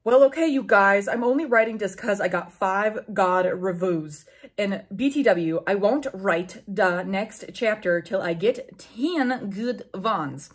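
A woman's voice: medium pitch, slightly rough. Woman reading as a defensive, indignant teen fanfic author. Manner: defensive, mocking read, halting over misspellings.

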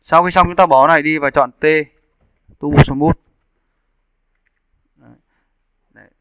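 Guy doing a casual software tutorial, bright crisp voice, step by step, dry sound. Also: a little high, steady tone, a little slow, dry recording, rough recording. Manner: explains step by step, often checking with listeners. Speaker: 3D animation instructor. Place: indoors, screen-recording voice-over.

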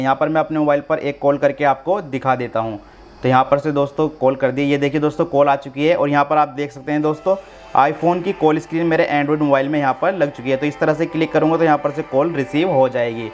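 Man, slightly high-pitched voice, tutorial host, step-by-step phone instructions, speaks straight to viewers. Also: a little hiss or hum.

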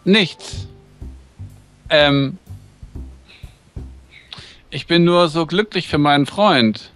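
A man's voice: on the high side, extremely slow, a bit bright, soft background music. A man playing a friendly, polite and slightly hesitant schoolboy love interest in a visual novel. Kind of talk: visual novel dub reading.

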